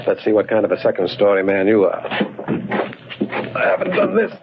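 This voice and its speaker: Suave gentleman sleuth, noisy old radio. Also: lowish voice, big pitch swings, fairly quick, vocal fry. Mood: confident. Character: urbane, curious, fearless gentleman investigator of the macabre. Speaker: actor in a radio mystery. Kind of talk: 1940s radio mystery acting. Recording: tinny, very noisy 1940s radio recording.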